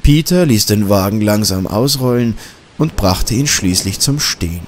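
A man's voice: fairly low pitch, lively intonation, normal speed. A man narrating a scripted horror story as an audiobook.

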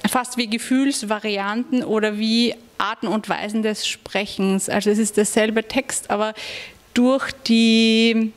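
A woman's voice: on the high side, fairly even intonation, a little slow. Woman artist in an echoey gallery. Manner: thoughtful, searching for words. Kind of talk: talking about her artwork. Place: gallery room. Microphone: handheld mic. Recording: long gallery reverb.

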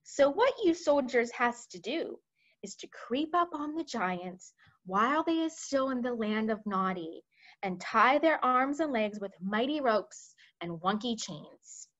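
A woman voicing a gentle, excitable giant from a children's storybook, exclaiming in muddled, childlike grammar with garbled words. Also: fairly high pitch, unhurried, dull audio. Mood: eager explaining.

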